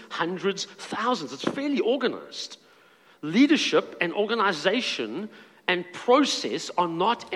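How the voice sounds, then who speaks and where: Man, pastor, high voice, earnest sermon, long hall reverb. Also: fairly bright tone. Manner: earnest preaching, reads scripture aloud with asides.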